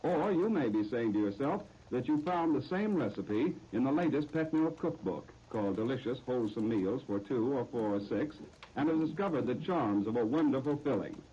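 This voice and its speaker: A man's voice: mid-range voice, expressive, moderate pace, dark tone, slightly rough. An American man announcing a sponsored 1930s radio show with genial, folksy, scripted sales patter. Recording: muffled old 1939 radio recording.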